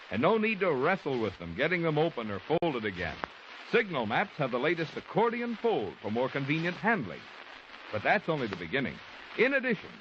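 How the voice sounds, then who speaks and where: Animated male old-time radio announcer. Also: on the high side. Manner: polished salesmanship. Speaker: commercial announcer. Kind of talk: 1940s radio advertisement, scripted pitch. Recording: muffled old radio recording, faint noise.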